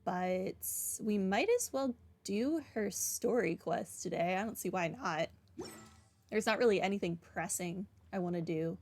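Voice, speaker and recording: A woman's voice: medium pitch, a little slow, a little mellow. Dry, wry woman streamer over game music. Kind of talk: casual game livestream commentary.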